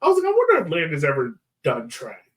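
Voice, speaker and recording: A man's voice: on the high side, huge pitch swings, quick pace, distant mic. A man co-hosting a soccer podcast, talking in a casual, slangy, informal way. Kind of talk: casual sports chat.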